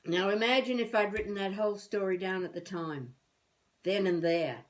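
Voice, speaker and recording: An actress playing an elderly wannabe novelist, wry and self-mocking, musing haltingly to herself in a confessional video-diary monologue. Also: female voice, mid-range voice, close to an everyday voice. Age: older.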